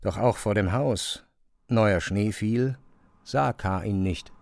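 A man's voice: on the low side, slow pace, vocal fry, a little mellow, no room sound. A man narrating a classic novel as a scripted literary reading, close to a studio microphone.

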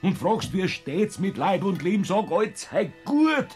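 An actor playing a stubborn old Bavarian farmer in a radio play, speaking dryly and in a folksy way, with music faintly behind him. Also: male voice, sits high, very animated, measured pace, darkish tone. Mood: contented, folksy. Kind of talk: classic radio play acting.

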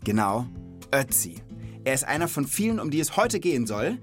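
A man's voice: medium pitch, sing-song, normal speed, faint music behind. A man in his thirties hosting a children's podcast, explaining things in an informal, chatty, conversational way.

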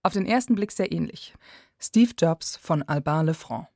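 A woman narrates a radio feature as its reporter. Her voice is slightly low and recorded very dry and close, with scripted phrasing. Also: lively intonation, moderate pace, vocal fry, a little mellow, dry recording.

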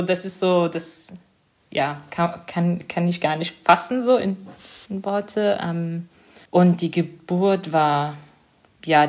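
A young woman in a reflective interview, her voice sitting a little on the low side. She talks hesitantly, circling and searching for the right words, with frequent small fillers. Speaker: author interviewed about her book.

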